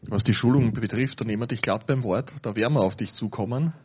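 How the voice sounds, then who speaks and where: A man's voice: medium pitch, fast, slightly rough. An Austrian man hosting at a church, chatting colloquially as he introduces and interviews a guest. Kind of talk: informal live interview and announcement. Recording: dull, lo-fi sound.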